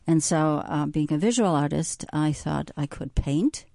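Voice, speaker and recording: A woman's voice: low voice, a little slow, gravelly, dark tone, dry recording, studio quality. A woman, a visual artist, answering interview questions thoughtfully, hesitating and searching for words. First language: German.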